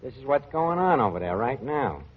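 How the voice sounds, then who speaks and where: An actor playing an earnest working-class tavern manager with streetwise, ungrammatical talk in a 1940s radio show. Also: male voice, mid-range voice, big pitch swings, a little fast, husky. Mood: grave, urging. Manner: colloquial, streetwise, uneducated grammar. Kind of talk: 1940s radio comedy-drama acting. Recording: muffled vintage radio recording.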